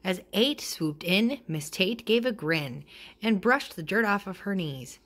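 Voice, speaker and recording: A woman reading a children's picture book aloud in an expressive way, acting out the dialogue. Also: medium pitch, a little slow. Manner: expressive, acts out character dialogue. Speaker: storybook reader.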